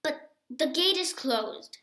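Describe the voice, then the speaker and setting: Woman reading as firm, high-voiced male dog trainer. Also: animated, slow pace, a bit bright, reverberant, exaggerated voice. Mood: encouraging, matter-of-fact. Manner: instructive, emphatic.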